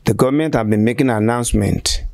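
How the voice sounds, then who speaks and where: A man in his sixties with a Nigerian accent, giving halting, deliberate answers as a church shepherd in an interview. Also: medium pitch, creaky, a little mellow, dry recording, studio quality. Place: small furnished room indoors, on a sofa. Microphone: handheld interview microphone held by interviewer.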